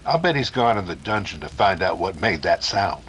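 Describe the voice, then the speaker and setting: A man playing a rattled radio announcer in a 1930s-style mystery drama, formal but urgent, with a husky voice. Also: deep voice, sing-song, fairly quick, a bit bright, dull audio, slight background noise. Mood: puzzled. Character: rattled male radio announcer keeping the broadcast going.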